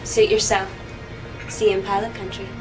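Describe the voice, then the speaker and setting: A woman's voice: medium pitch, little pitch movement, normal speed, natural voice, poor audio. An actress playing a cool, confident female space pilot in naturalistic video game dialogue. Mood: cool, teasing. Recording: music behind the dialogue.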